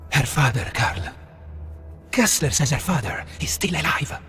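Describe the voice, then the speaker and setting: An actor playing an earnest, troubled resistance fighter in a video game cutscene, grave and emphatic. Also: male voice, mid-range voice, very animated, measured pace, fairly bright tone, soft background music.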